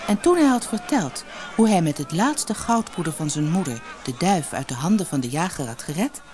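A woman reading a fairy tale aloud as an expressive scripted storyteller. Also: fairly low pitch, moderate pace, faint music behind.

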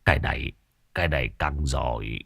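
A man voicing a gravely wounded old sorcerer who talks in weak, halting, gruff bursts. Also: extremely low pitch, fairly bright tone, no echo, studio quality, voice pushed down, heavily acted.

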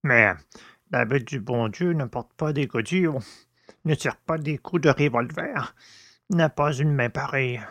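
Man acting old male servant, gruff and superstitious, creaky voice, rustic exclamations, dry sound, audiobook drama. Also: mid-range voice, unhurried, a bit bright, no echo. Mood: agitated. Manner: grumbling, rustic exclamatory talk.

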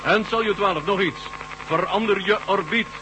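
A man's voice: sits high, moderate pace, lightly acted. Space-drama marshal, male actor: stern, clipped, used to command, on a very noisy old recording. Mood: urgent, commanding. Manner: curt, authoritative radio orders. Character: commanding Soviet marshal directing space operations. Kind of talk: Dutch science-fiction radio play acting.

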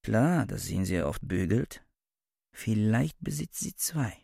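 An actor playing a fussy, disdainful scholar thinking aloud in a radio play, pedantic and judging. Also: male voice, lowish voice, lively intonation, unhurried, gravelly, warm tone, slightly rough, no echo, studio quality, exaggerated voice. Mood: critical musing. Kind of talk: interior monologue in a radio play.

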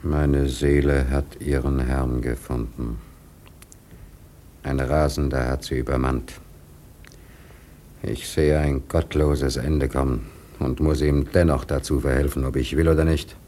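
An actor playing a grave, devout first mate on a whaler in a radio drama, solemn and anguished in measured phrases. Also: male voice, rumbling low, steady tone, dark tone, a little hiss or hum. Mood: anguished resignation. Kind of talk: German radio drama acting.

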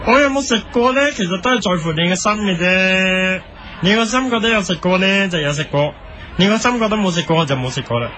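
Very high, sharp-voiced vacuous food critic. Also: male voice, a little fast, some chatter behind, a little hiss or hum. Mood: self-important. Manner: vague, pseudo-profound, hesitant answers. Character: vacuous, self-important food critic guest. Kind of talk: parody TV interview in radio comedy.

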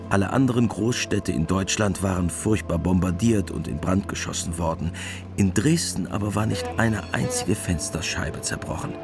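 A man narrating a scripted radio drama in a deadpan, matter-of-fact way. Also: sits low.

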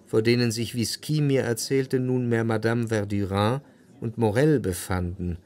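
An actor playing a reflective first-person narrator in scripted literary radio narration. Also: male voice, medium pitch, a little slow, a little mellow, close to an everyday voice.

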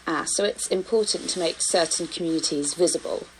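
Lowish-voiced female reporter, faint noise. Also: fairly quick, poor audio. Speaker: radio reporter, interviewer. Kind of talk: on-location radio reporting. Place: artist's studio in Paris suburbs.

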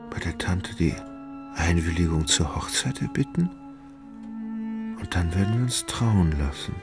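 An actor in a radio play, playing a gentle, indecisive landowner in love who speaks hesitantly and anxiously. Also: male voice, low-pitched, expressive, a little slow, creaky, full character voice. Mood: anxious, tender. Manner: hesitant, wavering. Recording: studio radio play with faint music behind.

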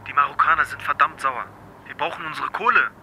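An actor in a radio drama playing a cold, threatening and sarcastic criminal boss. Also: male voice, a little high, quick pace, pushed and bright, slight background noise, poor audio. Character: menacing, cold criminal boss pressing his drug courier.

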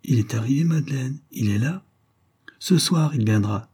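A man's voice: medium pitch, animated, moderate pace, darkish tone, slightly rough, pitched above the performer's own voice. A man playing an ardent, anguished count who pleads with his beloved in an impassioned, melodramatic way. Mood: tender pleading.

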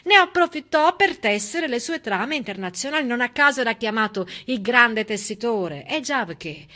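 A woman's voice: on the high side, expressive, moderate pace, bright, forceful tone. A woman stage performer delivering a civic monologue with emphatic, dramatic storytelling. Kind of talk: theatrical monologue, civic oration.

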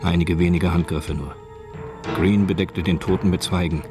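A man's voice: deep voice, steady tone, normal speed, music in the background, close to an everyday voice. A man reading scripted narration for a radio drama.

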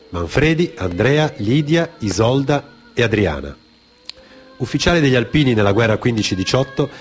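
A man reading a scripted radio theatre narration in a grave, measured, declamatory manner. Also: medium pitch.

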